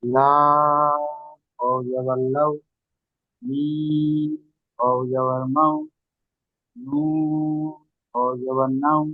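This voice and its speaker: A male student in an online class, reciting Quran letters aloud slowly and steadily after his teacher. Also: medium pitch, no room sound, soft background music. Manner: slow, even, repetitive recitation. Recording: tinny phone-like call audio.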